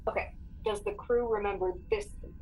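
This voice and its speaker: A woman's voice: medium pitch, steady tone, a little fast, mellow, faint music behind. A woman talking casually as a player in a tabletop game, planning moves aloud. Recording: dull lo-fi mic, background noise.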